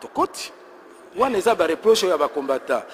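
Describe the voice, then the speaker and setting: A man's voice: high voice, very animated, fairly quick, long reverb tail, a little hiss or hum. A man with a Congolese accent who hosts the show and questions his guest in a probing way. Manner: probing, reads out the critics' complaints.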